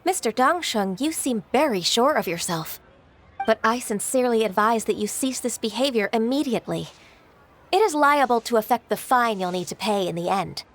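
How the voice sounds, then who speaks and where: A woman's voice: a little high, expressive, moderate pace. A woman voicing a composed, dutiful young official in a video game, speaking politely, formally and firmly. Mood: stern. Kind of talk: animated video game voice acting.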